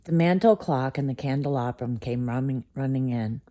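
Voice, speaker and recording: A woman's voice: extremely low pitch, normal speed, dark tone. A woman reading a children's bedtime story aloud as storyteller.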